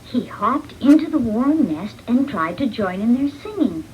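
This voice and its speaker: Lady reading a kids story slowly, high voice, really lively, some noise behind her. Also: muffled recording. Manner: expressive, deliberate read-along delivery. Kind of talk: scripted children's storybook narration.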